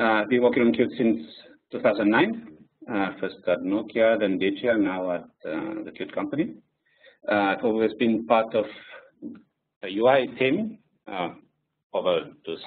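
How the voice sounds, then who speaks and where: A man's voice: fairly low pitch, slightly rough. Non-native man presenting a coding webinar. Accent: French-Spanish. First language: French and Spanish. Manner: hesitant, with many fillers. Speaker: software engineer presenter. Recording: distant tinny phone-like audio.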